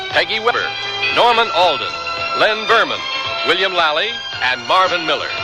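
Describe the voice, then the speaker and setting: Lively high-pitched American male announcer. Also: rough recording. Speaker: program announcer. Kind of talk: formal scripted radio credits.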